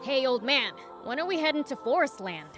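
A cocky little demon boy from a video game. The voice is high and scrappy, with a blunt, brash delivery and very animated swings in pitch. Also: fairly quick, over music. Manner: brash, curt. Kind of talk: video game cutscene dubbing.

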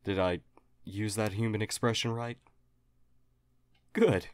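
Man acting as low-voiced, polite alien visitor. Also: steady tone, slow, no echo, studio quality. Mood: earnest, polite. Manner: formal, earnest, hesitant, apologetic phrasing. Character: friendly, polite, apologetic male alien historian visitor. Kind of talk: audio roleplay acting, addressed to listener.

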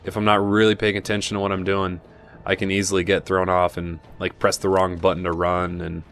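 A man giving relaxed, chatty commentary over a video game playthrough, with frequent asides and fillers. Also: low voice, brisk, a little hiss or hum. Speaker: game guide narrator. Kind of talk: casual gameplay commentary. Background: game music and gunfire.

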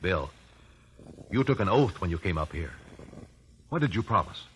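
An actor playing a composed gentleman lawyer, measured and formal, in a 1950s radio drama. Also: deep voice, sing-song. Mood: pressing. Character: probing, composed gentleman lawyer questioning witnesses. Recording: faintly noisy old radio recording.

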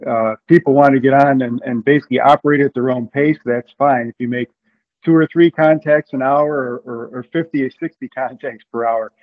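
A man's voice: medium pitch, fairly even intonation, a little fast. A middle-aged American man explaining things casually as an interview guest, speaking from a room at home over a video call. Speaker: interview guest, amateur radio event coordinator. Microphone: video call with earbuds. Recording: narrow, phone-like video call audio.

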